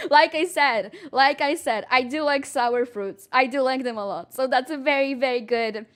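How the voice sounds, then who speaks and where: A woman's voice: high voice, normal speed, a bit bright. A young woman streaming live, chatting casually with viewers, laughing often and talking earnestly about her rankings.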